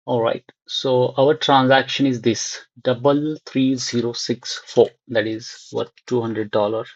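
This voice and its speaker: A man giving an unscripted screen-share software tutorial, thinking aloud in short, halting fragments. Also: medium pitch, fairly even intonation, no echo, muffled recording.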